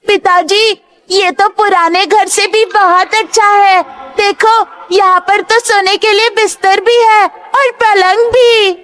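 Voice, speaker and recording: A woman voicing an innocent, excitable young daughter in a cartoon moral story, speaking in an earnest childlike way. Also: very high-pitched, slow pace, heavily acted. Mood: happy, innocent. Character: innocent excitable little daughter of a poor family. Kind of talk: Hindi animated moral story dialogue. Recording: music behind the voice.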